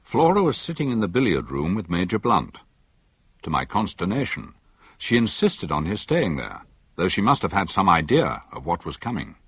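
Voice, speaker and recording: Man as measured country doctor and narrator; low, husky, swooping pitch; radio drama. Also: huge pitch swings, full character voice. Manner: measured, reflective. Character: thoughtful country doctor, middle-aged man. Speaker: narrator of the story.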